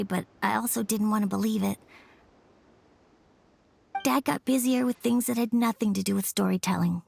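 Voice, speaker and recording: A woman's voice: a little high, vocal fry, a little hiss or hum. A woman voicing an earnest, imaginative young boy in a video game, speaking sincerely. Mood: wistful, earnest. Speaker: voice actor in a game. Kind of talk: emotive video game story dialogue.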